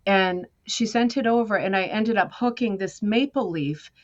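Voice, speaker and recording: A woman's voice: mid-range voice, moderate pace, muffled recording. An American woman telling her story as a guest in a conversational interview, chatty and self-deprecating. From United States. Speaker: guest artist.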